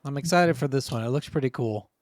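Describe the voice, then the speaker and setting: A man's voice: mid-range voice, fast talker, dry recording. A man co-hosting a casual gaming podcast, speaking in a laid-back, blunt way with some swearing. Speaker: podcast co-host.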